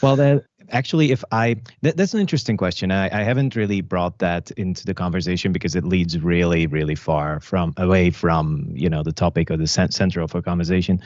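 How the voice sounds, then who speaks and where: A man speaking as a panelist at a round table, halting and using many fillers. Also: sits low, darkish tone, no echo, muffled recording.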